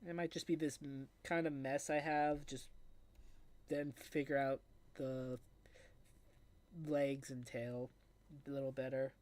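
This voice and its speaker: A man on a voice call chatting casually, thinking aloud in halting phrases. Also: mid-range voice, flat delivery, darkish tone. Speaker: guest on voice call. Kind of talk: casual chat while drawing.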